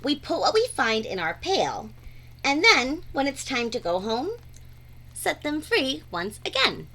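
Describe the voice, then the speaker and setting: A woman reading a rhyming children's picture book aloud in an expressive, deliberate, sing-song way. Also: high-pitched, slight background noise. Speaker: read-aloud storyteller.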